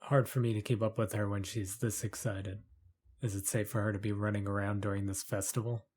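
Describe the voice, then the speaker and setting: A man playing a tired, guarded fugitive in a fantasy audio drama, speaking in a low-key way. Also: flat delivery, fairly quick, darkish tone, lightly acted. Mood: worried, guarded. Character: weary, wary fugitive man in a fantasy town.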